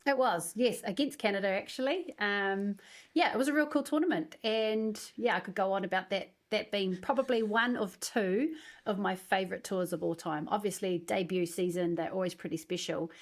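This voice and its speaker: A woman from New Zealand, a former rugby player co-hosting a podcast, telling stories in a relaxed, easygoing way. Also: medium pitch, moderate pace. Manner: relaxed, easygoing storytelling with fillers. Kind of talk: casual podcast chat.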